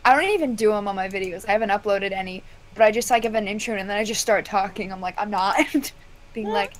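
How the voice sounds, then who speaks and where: A woman's voice: mid-range voice, quick pace, a little hiss or hum. A young woman with a Southern US accent, chatting on a podcast in a rambling, giggly way. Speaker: podcast guest. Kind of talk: casual chat.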